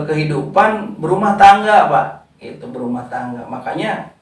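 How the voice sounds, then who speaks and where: A man's voice: fairly high pitch, far from the mic. Man speaking off-mic in an echoey room. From West Java, Indonesia. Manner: unhurried, with frequent "eh" fillers, addressing the interviewer as "Pak". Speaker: founder of a community organization. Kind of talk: relaxed interview answers.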